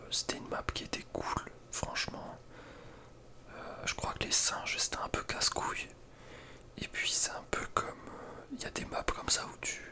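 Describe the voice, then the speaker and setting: A young man speaks in a soft breathy whisper, giving casual, slangy ASMR gaming commentary with a hesitant delivery. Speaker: ASMR video creator.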